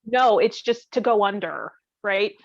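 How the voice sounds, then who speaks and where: Female conversationalist, candid and jokey, medium pitch, dry and slightly dull recording. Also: expressive, a little slow, vocal fry, dry recording. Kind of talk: casual chat.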